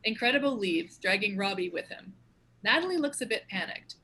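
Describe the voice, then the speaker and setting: Bright-voiced woman reading screenplay directions. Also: mid-range voice, lively intonation, brisk, breathy edge. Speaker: stage directions narrator. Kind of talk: scripted screenplay narration.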